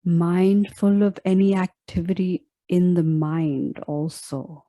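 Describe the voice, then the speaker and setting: A woman leading a guided meditation as a teacher, speaking in a soft, deliberate, instructional way. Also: lowish voice, steady tone, no echo.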